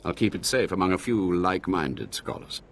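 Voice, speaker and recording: An actor playing an earnest, anxious scholar of a secret order in a video game, speaking expressively. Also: male voice, sits low, animated, normal speed, slight background noise. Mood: earnest, persuasive.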